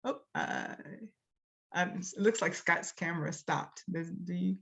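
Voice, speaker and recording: A woman moderating an online panel, unhurried and apologetic. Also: on the low side, gravelly, fairly bright tone, husky.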